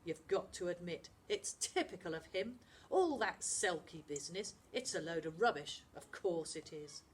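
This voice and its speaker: A woman voicing a bossy young boy who skippers a dinghy, speaking high and loud. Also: expressive, normal speed, a little hiss or hum, lightly acted. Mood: dismissive.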